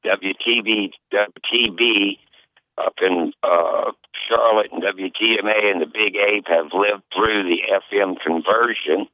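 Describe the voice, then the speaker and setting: A man's voice: lowish voice, fairly even intonation, measured pace, dry recording. A man calling in to a radio show by telephone, speaking casually. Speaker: phone-in caller. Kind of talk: casual, congratulatory radio call-in. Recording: tinny, band-limited phone line.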